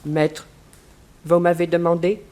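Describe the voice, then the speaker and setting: A man's voice: fairly high pitch, monotone, slow, a little mellow. An actor playing a humble, formal, deferential male servant and bodyguard in a 1960s French radio serial. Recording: noisy old radio recording.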